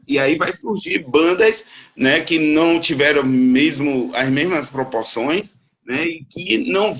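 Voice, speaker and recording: A man's voice: on the high side, a bit bright. A man who teaches, explaining history on a panel and often checking in with "né". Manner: lecturing, with frequent "né".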